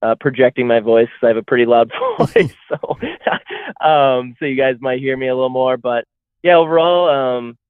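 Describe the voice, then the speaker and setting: A man's voice: medium pitch, expressive, moderate pace, smooth, no echo. A young man talks over a thin, phone-like line, his voice dry and close. He chats loosely, leaning on plenty of likes and ums as he answers questions as a guest. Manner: loose and chatty, heavy on fillers. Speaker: guest athlete. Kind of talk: casual podcast interview. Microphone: phone call.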